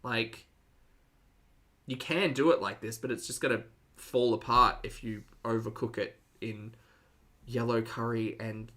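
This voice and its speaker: A man's voice: medium pitch, steady tone, a little slow, pauses often, bright, forceful tone. A man hosting a reaction stream, chatting casually to his live audience with dry, teasing sarcasm. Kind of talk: casual live commentary to a chat.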